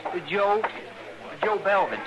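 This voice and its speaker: A man's voice: high voice, very animated, takes it slowly, lo-fi sound, a crowd in the background, heavily acted. An actor playing a naive, stubborn young farm boy with a rural American accent and a hesitant, folksy manner, in radio western style. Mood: shy, uneasy. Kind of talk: old-time radio western acting. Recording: noisy old radio drama recording.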